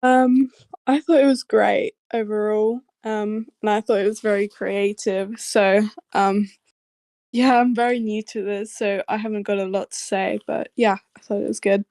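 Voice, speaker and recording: A woman's voice: medium pitch, fairly even intonation, moderate pace, darkish tone, dry recording, clean studio sound. A woman chatting casually and tentatively as a newcomer on an online group call. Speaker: newcomer participant giving feedback. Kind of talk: casual chat.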